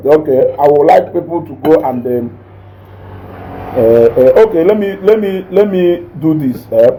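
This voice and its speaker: A man with a Nigerian accent and a naturally loud voice, hosting a casual live stream, reading viewer comments and mixing English with Igbo while he eats. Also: on the high side, animated, unhurried, slight background noise, rough recording. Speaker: online show host reading viewer comments.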